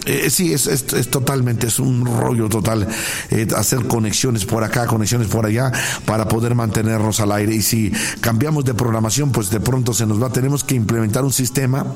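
A Mexican man with a mid-pitched voice hosts a live devotional broadcast as a pastor. He talks casually and devoutly to his listeners, effusive with greetings and blessings. He often doubles words and phrases for emphasis. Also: fairly even intonation, moderate pace, a little mellow. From Puebla, Mexico.

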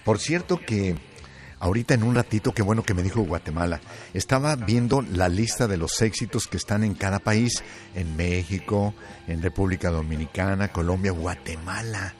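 A man hosting a radio call-in show, joking and playful, stretching out words for effect. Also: deep voice. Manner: playful, joking, drawn-out shouts. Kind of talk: lively radio call-in chat. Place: Los Angeles studio.

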